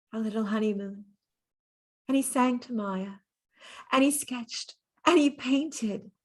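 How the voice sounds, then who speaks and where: An actress playing a gentle, wistful dead mistress of a painter, reminiscing in a measured theatrical monologue. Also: female voice, fairly high pitch. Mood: fond, nostalgic.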